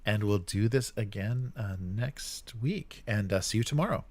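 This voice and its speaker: A man hosting a livestream, chatting casually in a halting, rambling and jokey way. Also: fairly low pitch, expressive. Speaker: livestream host.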